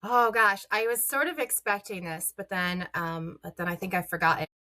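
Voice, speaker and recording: A woman's voice: mid-range voice, moderate pace, bright, forceful tone. A middle-aged woman, a guest on a podcast, talking casually and chattily with plenty of ums and uhs. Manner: chatty, many "um" and "uh" fillers. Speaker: podcast guest, content creator.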